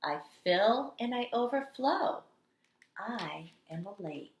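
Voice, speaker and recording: A woman kindergarten teacher reading aloud to young children with a dramatic, deliberate, expressive delivery. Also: medium pitch. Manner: dramatic, deliberate delivery with strong swells and hushed endings. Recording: echoey, roomy sound.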